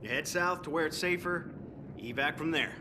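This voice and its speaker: Actor as high-voiced folksy Southern soldier. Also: male voice, moderate pace, background noise, natural voice. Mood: folksy, brisk. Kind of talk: video game cinematic acting. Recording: clear music behind.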